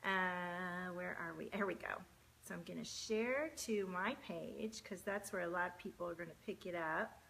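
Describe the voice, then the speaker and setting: A woman's voice: fairly low pitch, normal speed, creaky, fairly bright tone, slight background noise, rough recording. A woman, hosting a casual live stream, chatty and greeting viewers by name between many fillers. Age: 40s.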